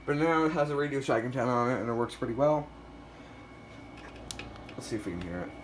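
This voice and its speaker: A man's voice: mid-range voice, animated, quick pace, a little hiss or hum, low-quality recording. A man talking casually and off the cuff as he shows items in a collection, a bit self-deprecating. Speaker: collector showing a weather radio collection. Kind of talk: casual unscripted show-and-tell.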